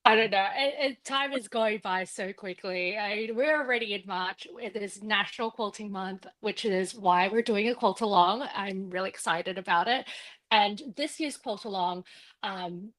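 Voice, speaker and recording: A woman's voice: medium pitch, moderate pace, a bit bright. A woman guest explaining a quilt project in a friendly, rambling way with many fillers. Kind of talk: casual demonstration.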